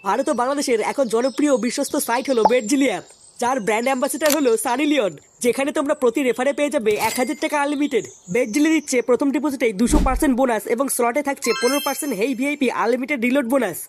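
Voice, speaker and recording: A woman's voice: high voice, rapid-fire, slight background noise. A woman promoter delivering a scripted sponsor advertisement.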